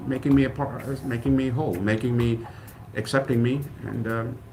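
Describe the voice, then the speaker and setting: A man's voice: medium pitch, steady tone, moderate pace, background noise. A man from South India whose first language is Kannada, a college president talking earnestly and gratefully in a casual radio interview, often restarting his phrases. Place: radio studio.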